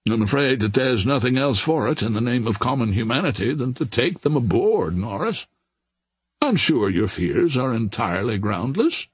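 A man voicing a fair-minded, dignified older gentleman in an audiobook, measured and formal. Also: mid-range voice, very animated, slightly rough, no room sound. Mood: calm, reassuring.

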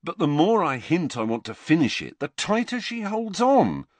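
Guy doing a mopey teen boy, a bit higher than his own voice. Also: very animated, moderate pace, dry recording. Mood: gloomy. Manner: gloomy, mumbling. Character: gloomy, laid-back teenage boy.

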